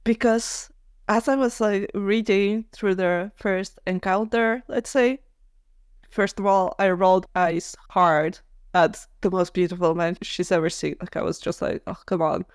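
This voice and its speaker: A woman with a medium-pitched voice, recorded dry and close, chats as a podcast co-host about books. She gives her opinions freely and leans heavily on the word "like". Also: steady tone, measured pace, clear, resonant tone, no echo. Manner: opinionated, heavy use of "like". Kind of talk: casual book discussion chat.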